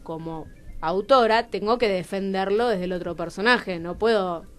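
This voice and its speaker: A woman's voice: mid-range voice, normal speed, faint music behind. A woman with a Rioplatense Argentine accent speaking candidly as a guest playwright in a radio interview, questioning herself aloud and acting out bits of dialogue. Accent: Rioplatense Argentine Spanish. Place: radio studio.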